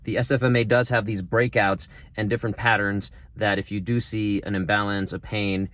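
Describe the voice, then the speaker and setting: A man's voice: lowish voice, flat delivery, moderate pace, a bit bright. A male clinician talking casually to camera from his garage, explaining an assessment in a chatty, rambling way. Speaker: physical therapist. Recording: roomy echo.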